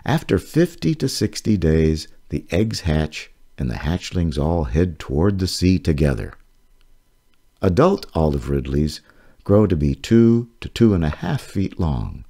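Very deep-voiced man reading slowly. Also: very animated, dark tone. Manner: measured, explanatory reading. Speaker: author reading own children's book. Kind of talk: read-aloud of a children's nonfiction book.

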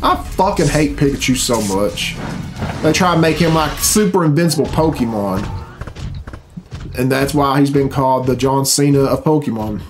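A man's voice: medium pitch, moderate pace. A man, giving blunt, grumbling, sweary commentary as host of a reaction video. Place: small furnished room at home, indoors. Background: loud game cutscene music.

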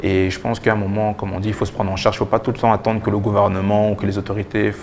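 A young man giving halting answers with repeated words as a guest in a TV interview. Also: fairly low pitch, flat delivery, darkish tone, slight background noise. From DR Congo and Belgium. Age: young adult. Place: TV studio. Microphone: lapel mic.

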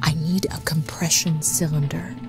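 A woman's voice: low-pitched, level tone, normal speed. A woman voicing a determined, practical survivor in a sci-fi horror game, terse and focused. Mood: focused, wary. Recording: loud music over the voice.

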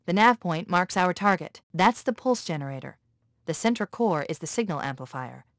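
A woman voicing a quick-witted, analytical military artificial intelligence in a video game, with a crisp, authoritative manner. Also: on the low side, animated, a bit bright, dry recording, studio quality. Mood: analytical, focused. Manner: crisp, precise, authoritative briefing.

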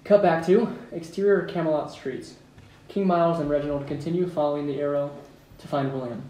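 A man's voice: fairly high pitch, steady tone, a little fast, mellow. A man reading out stage directions as narrator at a script table read. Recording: echoey room, distant mic, background noise.

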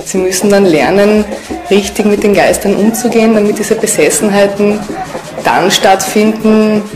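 A woman's voice: mid-range voice, steady tone, normal speed. A woman speaking as an interviewed expert, explaining her field in spontaneous talk. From Austria. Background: background music.

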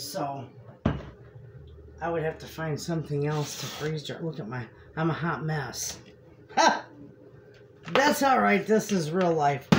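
Slow, chatty homesteader woman in her kitchen. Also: fairly low pitch. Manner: chatty, homey, laughs easily. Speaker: homestead cooking vlogger. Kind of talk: casual kitchen chat to camera. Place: home kitchen, indoors. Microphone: camera across the kitchen. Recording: noisy home kitchen audio.

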